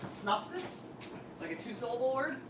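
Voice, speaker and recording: A woman's voice: on the high side, big pitch swings, moderate pace, noisy background. A woman in her forties co-hosting a livestream, adding casual short remarks from away from the microphone. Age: 40s. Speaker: livestream co-host. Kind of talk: casual chat, short asides. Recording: roomy, off mic, distant, voices behind.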